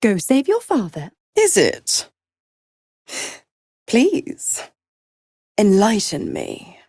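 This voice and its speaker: An actress voicing a seductive, gloating devil patron in a video game, with a silky, condescending, theatrical manner of cruel teasing. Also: female voice, mid-range voice, wildly swooping pitch, drawn-out words, mellow, slightly rough, no echo, clean studio sound, exaggerated voice. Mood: mocking, gloating, then haughty. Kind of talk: video game character voice acting.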